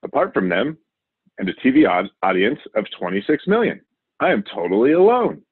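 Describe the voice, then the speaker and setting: A man voicing a wild, overconfident male TV survival show host from a children's storybook, excitable and showy. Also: mid-range voice, brisk, husky, no room sound. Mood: boastful, excited. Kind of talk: children's storybook character reading. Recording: narrow, phone-like audio.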